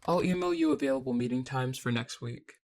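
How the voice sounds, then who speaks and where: A man's voice: mid-range voice, huge pitch swings, fairly quick, gravelly, a little mellow. A man playing a cool, dismissive academic advisor in an audio drama, speaking in a formal, politely detached way. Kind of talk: dramatic audio roleplay acting.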